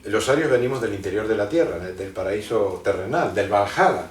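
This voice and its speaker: Man, interview guest, low and rambling, hesitant, roomy far-off mic. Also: expressive, fairly quick. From Argentina. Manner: rambling and explanatory, many hesitations and restarts. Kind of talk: conversational interview, lecturing. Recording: roomy sound from a distant mic.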